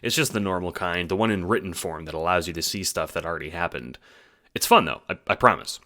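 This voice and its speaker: Male narrator, very low pitch, crisp bright tone, wry, theatrical, dramatic audiobook style. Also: fast.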